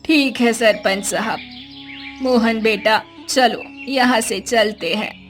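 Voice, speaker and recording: A woman voicing a worried elderly grandmother in a cartoon story, pleading slowly and protectively. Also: fairly high pitch, faint music behind. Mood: resigned.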